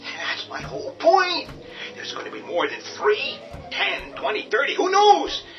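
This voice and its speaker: A man's voice: very high voice, wildly swooping pitch, measured pace, full character voice. An actor playing a panicky, quarrelsome father who insists on hiding in the cellar. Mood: agitated. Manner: agitated, quarrelsome, rising shouts. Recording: dull distant audio over music.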